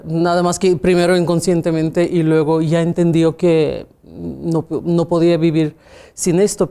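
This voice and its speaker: Interviewee: Russian-accented woman, music teacher, warm low-key timbre, reflective. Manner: reflective, often ending with a questioning tag, small grammar slips. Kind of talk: conversational interview answers.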